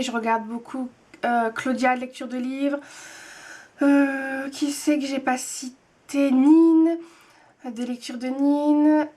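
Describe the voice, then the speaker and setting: A young woman in her twenties with a high voice talks casually to her viewers. She rambles a bit, trips over her words and restarts often, filling pauses as she goes. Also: darkish tone. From France. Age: 20s. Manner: chatty, hesitant, many fillers and restarts. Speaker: booktube vlogger, nurse. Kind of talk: casual vlog answering tag questions.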